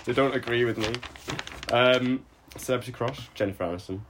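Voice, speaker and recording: A man's voice: medium pitch, brisk, fairly bright tone, a little hiss or hum. A twenty-year-old man from Scotland chatting casually as a podcast host, in a joking, self-deprecating way. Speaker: podcast host and architecture student. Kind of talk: casual banter among friends.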